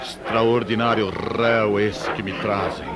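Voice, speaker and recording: An actor playing a troubled, disdainful Roman governor, grave and commanding, in a religious drama. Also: male voice, on the low side, fairly even intonation, a little slow, lightly acted. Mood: grave wonder. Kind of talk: religious radio drama acting. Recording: music and crowd behind the voice.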